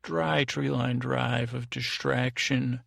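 Man, bedtime podcast host, very gravelly creak, flat droning intonation, halting, meandering, repetitive. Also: mid-range voice, no room sound, clean studio sound. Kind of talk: rambling bedtime sleep-podcast monologue.

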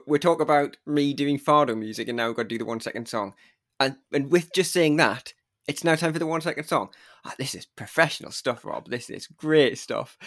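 A man's voice: medium pitch, fairly bright tone, no room sound. Man, Geordie accent, podcast co-host, jokey banter, dry close sound. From North East England. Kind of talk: casual podcast chat.

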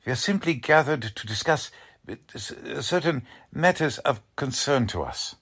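A man's voice: medium pitch, lively intonation, normal speed, a bit bright, husky. A man playing an anxious, principled middle-aged German-American father in a 1940s-style radio drama, grave and earnest. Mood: worried, pleading. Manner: grave, earnest, formal phrasing. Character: anxious German-American family patriarch, urgent but principled.

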